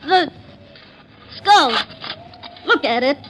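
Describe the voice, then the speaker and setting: A woman's voice: high voice, lively intonation, extremely slow, full character voice. An actress playing a hard, scheming woman who questions and accuses in clipped, commanding phrases in an old-time radio horror drama. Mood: horrified. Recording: noisy vintage radio recording.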